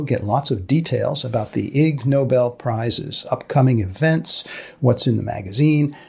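A man hosting a comic science podcast in a dry, deliberate, deadpan way with mock-formal phrasing. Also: mid-range voice, gravelly, husky, dry recording. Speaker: podcast host.

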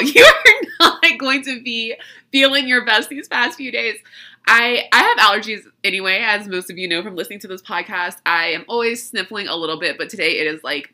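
A woman's voice: medium pitch, pushed and bright. A woman hosting a podcast in casual chat, congested and stuffy from allergies, chatty and often laughing at herself. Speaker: podcast host.

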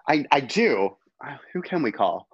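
A man chatting as a podcast guest, animated and gushing as he tells stories. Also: medium pitch, a little slow, slightly rough, dry recording, muffled recording. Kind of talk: casual podcast chat.

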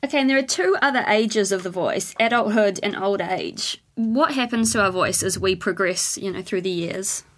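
A woman interviewer asking crisp, measured questions. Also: medium pitch, a bit bright. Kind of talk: radio-style interview questions.

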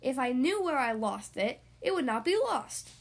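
Storybook kid: a literal, earnest schoolgirl played by a woman reader, high and sing-song. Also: sing-song, moderate pace, heavily acted. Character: young girl with a loose tooth, earnest and literal.

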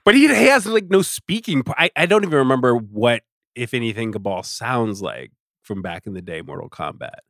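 Man in halting, casual podcast chat, dry sound. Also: medium pitch, sing-song, gravelly, a bit bright, no room sound. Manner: halting, self-interrupting, conversational. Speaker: podcast guest. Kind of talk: casual pop-culture discussion.

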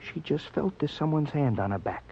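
An actor playing a grave, compassionate male doctor in an old radio drama, measured, with quaint turns of phrase. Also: a little high, animated, vocal fry. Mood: grave, concerned. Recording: muffled old radio, faint noise.

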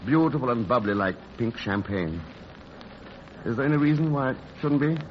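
A man's voice: mid-range voice, animated, moderate pace, natural voice. A man playing a suave, flirtatious playboy in a 1940s radio romantic comedy, polite and hesitant in his charm. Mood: smooth, flirtatious. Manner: hesitant, polite, smooth-talking. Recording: muffled, noisy old radio broadcast.

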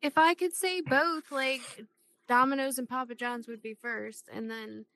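A woman's voice: high-pitched, fairly even intonation, a little slow, a bit bright. A woman who is a guest on a podcast, chatting casually with a sympathetic, reassuring manner. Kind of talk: casual chat.

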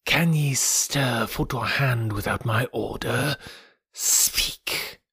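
A man voicing a huge old male python in a storybook reading, slow, hissing, hypnotic and commanding. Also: mid-range voice, creaky, sharp tone, breathy edge, no echo, studio quality, exaggerated voice.

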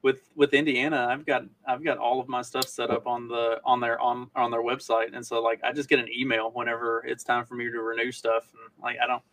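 A man's voice: mid-range voice, level tone, brisk, few pauses, fairly bright tone, slight background noise. Distant-sounding man from Kentucky, chatting. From Kentucky, United States. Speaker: podcast co-host. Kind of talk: casual chat. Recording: distant from the mic.

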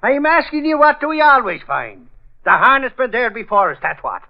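A talkative comic sidekick from a 1940s radio drama. He grumbles in a high, folksy voice. The sound is old and muffled. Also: big pitch swings, normal speed, gravelly, heavily acted. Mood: exasperated.

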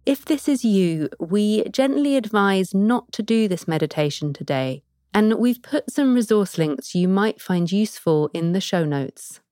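A woman host giving a gentle, measured scripted introduction. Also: medium pitch, expressive, no echo, studio quality. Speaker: podcast host.

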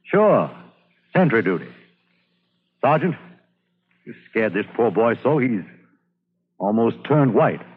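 A commanding army captain in a classic radio play. He is brisk and patronizing, with a rough husky voice. The sound is old and telephone-thin. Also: medium pitch, sing-song, narrow, tinny audio, full character voice. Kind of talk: old-time radio drama acting. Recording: thin vintage radio recording.

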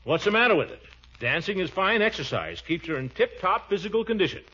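An American actor playing a pompous, chuckling, good-natured middle-aged uncle in a 1940s style radio comedy. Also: male voice, high voice, big pitch swings, fairly quick, pushed and bright, slightly rough, exaggerated voice. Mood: proud, defensive. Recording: dull old radio recording.

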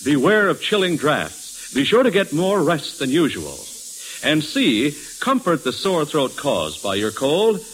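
Male 1940s radio pitchman, animated intonation, emphatic sponsor read over light old-recording hiss. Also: low-quality recording. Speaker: radio announcer reading a sponsor message.

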